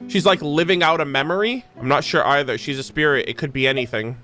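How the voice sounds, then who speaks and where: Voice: medium pitch, fast, bright, forceful tone, faint music behind. A game streamer reacting casually to a story as it plays, exclaiming in slang and swearing freely.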